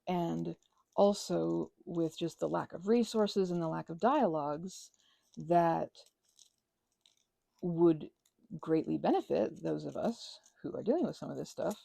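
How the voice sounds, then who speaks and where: Woman, thirties, lowish pitch, mellow tone, casual rambling crafter chat, wry self-deprecation. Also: vocal fry, no room sound. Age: 30s. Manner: self-deprecating, sarcastic, digressive. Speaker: crafting vlogger and ASMR creator.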